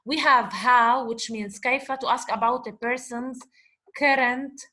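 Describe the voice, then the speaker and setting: A woman teaching an Arabic lesson over slides, explaining slowly and asking questions she then answers herself. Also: on the high side, steady tone, sharp tone. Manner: switches between English and Arabic words. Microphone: computer mic, voice-over on slides.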